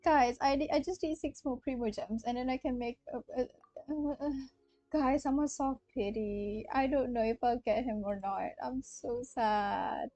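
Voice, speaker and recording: A woman's voice: on the high side, normal speed, no room sound, highs cut off. A young woman from Malaysia chatting casually on a game livestream, hesitant and often repeating herself. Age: young adult. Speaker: game streamer. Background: soft game music.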